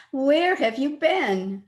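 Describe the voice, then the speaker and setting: A woman's voice: high voice, heavily acted. A woman playing a sweet, earnest young daughter in love in an old-time radio drama. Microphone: video call.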